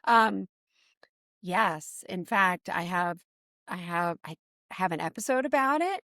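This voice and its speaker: A woman's voice: lowish voice, animated, takes it slowly, bright, forceful tone, no echo, clean studio sound. A woman from New Jersey in her forties hosting a casual podcast chat, laughing often and telling stories with animation. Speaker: podcast host.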